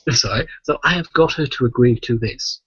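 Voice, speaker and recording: A man hosts a casual podcast in a slightly rough, husky voice. He rambles through anecdotes with plenty of swearing and checks in with "right?". The recording is muffled and lo-fi. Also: mid-range voice, brisk. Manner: sweary, animated storytelling with frequent "right?" tags. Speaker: podcast host. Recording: muffled, echoey voice-chat recording.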